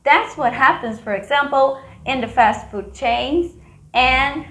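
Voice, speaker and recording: Woman with a Brazilian accent, bright-pitched explainer voice, chatty asides, roomy echo; for a lesson read. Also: slow. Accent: Brazilian Portuguese. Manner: playful, chatty asides to the viewer. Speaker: business educator.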